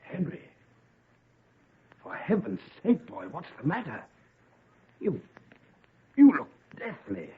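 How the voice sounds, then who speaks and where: A man's voice: a little high, wildly swooping pitch, slow, long pauses, a little hiss or hum, full character voice. An actor playing a worried, commanding father of a scientist in an old-time radio drama, urgent, imploring and firm. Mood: anxious, imploring. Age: middle-aged or older. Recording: muffled, band-limited vintage radio recording.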